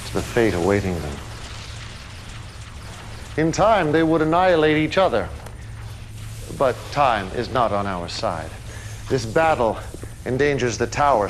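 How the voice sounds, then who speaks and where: An actor playing a commanding, calmly menacing cult leader in a video game cutscene, speaking with solemn, grandiose deliberation. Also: male voice, medium pitch, a little hiss or hum, natural voice. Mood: coldly menacing.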